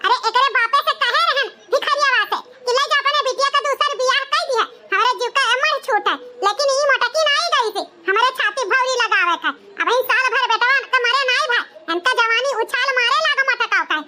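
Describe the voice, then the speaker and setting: A performer with a pitched-up, squeaky voice and an eastern Uttar Pradesh accent, voicing a melodramatic, complaining village woman in a comedy cartoon. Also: brisk, few pauses, sharp tone, exaggerated voice. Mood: scolding, accusing. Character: scolding village mother-in-law and wronged widowed daughter-in-law, cartoon women.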